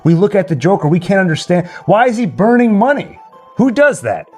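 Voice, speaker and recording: A man's voice: high voice, big pitch swings, brisk, darkish tone, soft background music. A man hosting a livestream, chatting casually and reading out comments. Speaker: livestream host. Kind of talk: casual chat.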